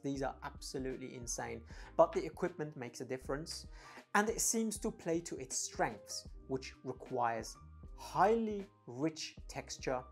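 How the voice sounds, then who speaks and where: Man reviewing audio gear, emphatic delivery. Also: a little high. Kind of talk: product review to camera. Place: dim indoor home studio room. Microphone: lapel clip-on mic.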